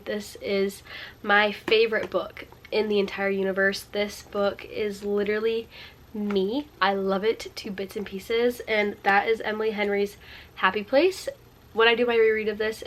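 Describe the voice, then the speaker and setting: Stuffy-voiced young woman gushing over books. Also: medium pitch. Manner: bubbly, gushing, filler-heavy. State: stuffy from a cold. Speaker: book vlogger. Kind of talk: casual chat to camera. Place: indoors at home by a sunny window.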